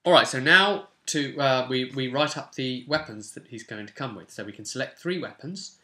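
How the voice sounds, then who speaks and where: Bright-voiced young English man, chatting. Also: mid-range voice, normal speed. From England. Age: 20s. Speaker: host of tabletop roleplay channel. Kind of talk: casual tutorial chat to viewers. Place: small home room, indoors.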